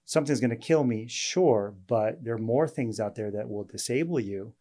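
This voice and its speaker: A male doctor hosting an interview, talking in a halting way with many fillers and restarts. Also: mid-range voice, warm tone. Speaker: host, weight loss doctor. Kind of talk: casual interview chat.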